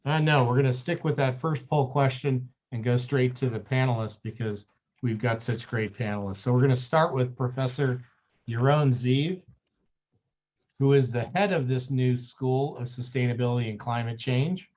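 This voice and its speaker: A man moderates an online panel and introduces a guest at length. His voice sits at a middle pitch, and the video call audio sounds a little muffled. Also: fairly even intonation, darkish tone. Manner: friendly, slightly halting. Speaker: webinar moderator. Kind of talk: hosting a webinar, reading a guest introduction.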